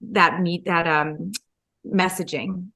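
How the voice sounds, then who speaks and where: A middle-aged woman from the United States, a dietitian guest telling her story in an animated, casual way, full of "like" and "you know". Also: lowish voice, takes it slowly. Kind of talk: casual interview chat.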